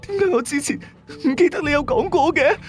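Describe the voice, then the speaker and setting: A man plays a frightened mother in a horror radio story. The voice is pitched very high, panicky and pleading, with a Hong Kong Cantonese accent. Faint music sits behind it. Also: lively intonation, a little fast. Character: frightened, defensive wife and mother.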